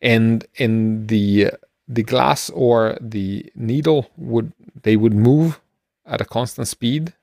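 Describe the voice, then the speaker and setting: A middle-aged man co-hosting a podcast, explaining things casually in a halting, rambling way. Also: medium pitch, vocal fry, a little mellow, no room sound, clean studio sound. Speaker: podcast co-host. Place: small room at home, on a video call. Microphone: close microphone, headphones.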